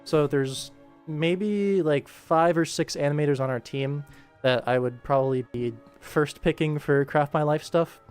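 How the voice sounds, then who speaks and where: A man's voice: on the high side, unhurried, a little mellow, clean tone. A man chatting casually as a livestream host while he works, with a dry, tongue-in-cheek sense of humour. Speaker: livestream host, animation director. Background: music.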